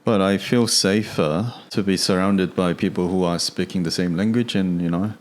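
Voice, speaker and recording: Deep-voiced man, soft-spoken. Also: steady tone, normal speed. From Korea. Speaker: podcast guest. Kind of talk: casual interview chat.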